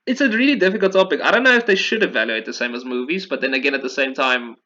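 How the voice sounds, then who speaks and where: A man's voice: mid-range voice, animated, fast talker, pushed and bright, highs cut off. A man hosting a podcast, talking casually and with opinions about video games, often repeating phrases for emphasis. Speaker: podcast host.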